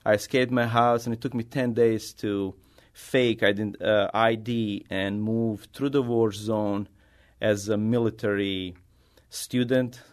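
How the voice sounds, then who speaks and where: A middle-aged man from Bosnia with a Bosnian accent, a native Bosnian speaker answering interview questions as an artist in halting, non-native English. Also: lowish voice, fairly even intonation. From Bosnia, former Yugoslavia. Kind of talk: conversational interview answers, personal storytelling.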